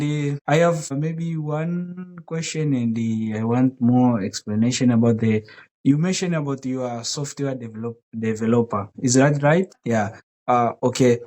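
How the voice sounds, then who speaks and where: Halting man, Swahili his first language. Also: medium pitch, darkish tone. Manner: halting, with restarts and polite phrasing. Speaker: podcast co-host. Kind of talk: casual podcast talk.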